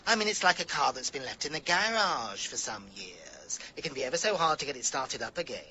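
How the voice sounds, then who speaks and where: A man's voice: medium pitch, sing-song, fairly quick, pushed and bright, dull audio, slight background noise. A man playing a camp, catty, melodramatic English best friend in a radio comedy-drama. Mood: teasing.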